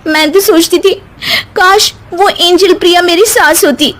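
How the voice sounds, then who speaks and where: A woman's voice: very high voice, unhurried, a bit bright. A woman voicing a young Indian daughter-in-law, touchy but affectionate, in a cartoon moral story.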